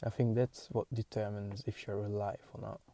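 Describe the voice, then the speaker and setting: A young man with a Hungarian accent, a podcast host thinking aloud in a halting, searching way with many restarts. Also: fairly low pitch, little pitch movement, gravelly, warm tone, no room sound. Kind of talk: solo musing monologue.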